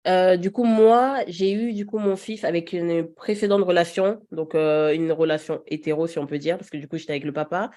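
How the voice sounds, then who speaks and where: Relaxed woman in her thirties chatting. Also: on the low side, moderate pace, few pauses. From Paris region. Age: 30s. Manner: relaxed, many fillers, laughs easily. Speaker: podcast guest. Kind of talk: casual chat.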